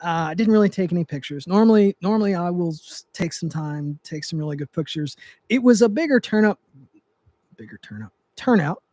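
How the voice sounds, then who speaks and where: High-voiced man rambling on a livestream. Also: animated, fairly quick, a little mellow, no echo. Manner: rambling, with frequent restarts and fillers. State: voice getting dry. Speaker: livestream host, trigger tuner. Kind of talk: casual livestream chat. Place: his home, seated in a chair.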